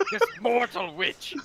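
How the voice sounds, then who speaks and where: A woman's voice: high voice, creaky, noisy background. A woman heckling casually as a player in a tabletop roleplaying game, sarcastic and teasing.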